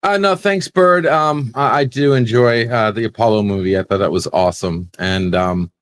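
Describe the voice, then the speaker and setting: A man's voice: medium pitch, moderate pace. A man on a livestream panel, chatting casually and joking with the others. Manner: jokey, laughs often. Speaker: panel guest. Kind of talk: casual chat.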